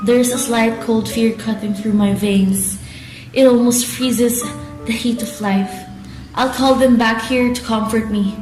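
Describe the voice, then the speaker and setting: A young woman plays a dutiful but troubled daughter in an amateur radio drama. Her voice is fairly high, with a strong room echo and music playing underneath. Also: fairly even intonation, a little slow, lightly acted. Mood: uneasy. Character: troubled young daughter, outwardly obedient, inwardly fearful. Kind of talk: student radio drama acting.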